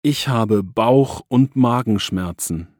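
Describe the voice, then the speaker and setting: A man reading example sentences for a language course, with clear, deliberate enunciation. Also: medium pitch, darkish tone, no echo, studio quality. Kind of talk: slow scripted language drill.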